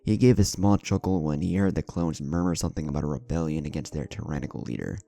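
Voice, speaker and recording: A man's voice: extremely low pitch, fast, gravelly, mellow. A man reading a scripted story aloud as its narrator, including the characters' dialogue. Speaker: fan fiction narrator.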